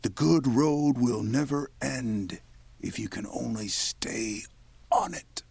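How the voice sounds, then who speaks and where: A man's voice: mid-range voice, slow pace, vocal fry, darkish tone, breathy edge, no echo, full character voice. A man playing a solemn old-time radio announcer who hands out homely advice. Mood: solemn, folksy.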